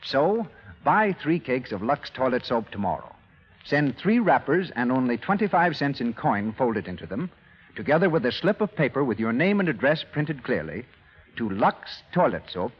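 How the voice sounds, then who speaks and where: A man's voice: mid-range voice, big pitch swings, moderate pace, breathy edge. An American man working as a radio announcer in 1930s style, reading scripted advertising in a formal, carefully enunciated way. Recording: dull vintage 1930s radio broadcast recording, reverberant.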